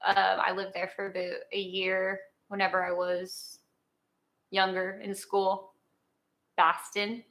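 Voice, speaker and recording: A chatty woman co-hosting a casual conversation. Her voice is bright and forward at an ordinary pitch, and she rambles through thoughts with plenty of fillers and restarts. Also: monotone, takes it slowly, low-quality recording. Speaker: co-host. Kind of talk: casual chat.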